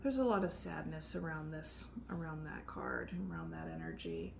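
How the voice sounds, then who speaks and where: A soft-spoken woman giving a casual tarot reading, chatty and relaxed with frequent fillers. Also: low voice, normal speed, gravelly. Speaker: tarot reader. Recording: faint background noise.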